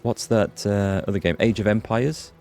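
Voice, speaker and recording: A man's voice: on the low side, fairly even intonation, normal speed, darkish tone, faint music behind. A man streaming a strategy game live, chatting casually, thinking aloud and greeting viewers by name. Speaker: gaming streamer. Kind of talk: live let's play commentary.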